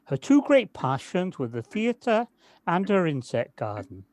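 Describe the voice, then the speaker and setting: Man playing modest male gardener, audio drama, kindly, hesitant, polite, very lively intonation. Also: high-pitched, unhurried. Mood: gentle, modest. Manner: hesitant, polite, stammering slightly. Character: kindly, hesitant village gardener, Alan, modest and nature-loving. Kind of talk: amateur audio drama acting.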